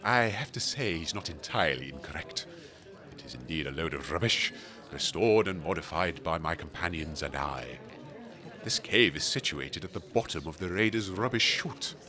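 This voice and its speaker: Pompous, courtly supper-club gentleman, low-voiced, flowery and formal, wildly varied intonation. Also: animated, moderate pace, crowd noise. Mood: courteous, drily amused. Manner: flowery, formal, theatrical speech. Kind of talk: comedic audio drama acting.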